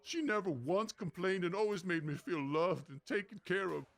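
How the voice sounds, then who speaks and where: A man's voice: high voice, animated, moderate pace, creaky, no echo. A man playing a humble, grieving young athlete, giving an earnest, sentimental acceptance speech. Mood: bittersweet gratitude.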